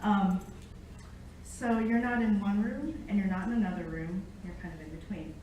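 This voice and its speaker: A woman's voice: medium pitch, moderate pace, darkish tone, muffled recording. A middle-aged American woman giving an informal academic lecture, conversational and engaging her listeners directly. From United States, born in Japan. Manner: conversational, engages the audience with questions. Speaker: academic guest speaker, Latin researcher. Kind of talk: informal public lecture with personal storytelling. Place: echoey indoor lecture room. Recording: echoey room, distant mic, faint noise.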